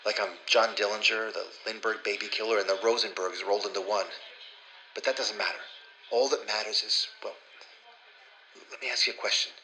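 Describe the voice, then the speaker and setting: Insistent schemer, harsh echoey tape. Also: male voice, fairly low pitch, fairly even intonation, brisk, pushed and bright. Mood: wry, coaxing. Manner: insistent, pleading. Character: intense, pleading male schemer, secretly recording a wiretap. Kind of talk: audio drama acting. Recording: noisy, echoey surveillance-tape radio sound.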